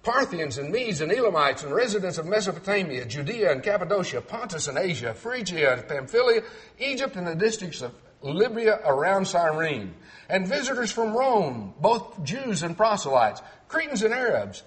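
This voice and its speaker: A man's voice: sits high, sing-song, moderate pace, a bit bright. A middle-aged man from Texas with a faint Texan accent, preaching a sermon and reading scripture aloud in a clipped, carefully enunciated way. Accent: Texan, trained toward clipped neutral speech. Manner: clipped, clearly enunciated delivery, reads verses aloud with emphasis.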